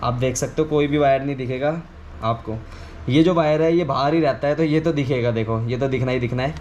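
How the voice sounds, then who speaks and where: Guy doing a chatty how-to, repeats himself a bit, regular pitch, bit of noise behind him. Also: fairly quick, darkish tone, lo-fi sound. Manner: informal, explanatory, repeats himself. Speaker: video creator giving a how-to. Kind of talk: casual hands-on tutorial chat. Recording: clearly noisy ambient background.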